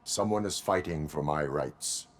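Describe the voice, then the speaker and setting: An actor playing a terse, stern cyborg police officer in a video game, speaking in clipped deadpan statements. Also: male voice, a bass voice, unhurried. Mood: flat, guarded.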